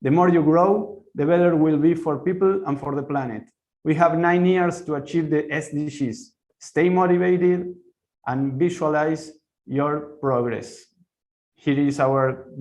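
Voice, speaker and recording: A man's voice: fairly high pitch, gravelly, a little mellow. A man giving an earnest, prepared presentation as a webinar panelist, restarting a word now and then. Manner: earnest, scripted, occasional restarts.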